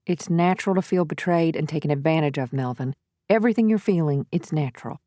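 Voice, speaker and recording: An earnest female defense lawyer , played by a woman with a low voice. She is measured and soothing, reassuring as she goes, in dramatic audiobook dialogue recorded dry. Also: warm tone, dry recording, natural voice.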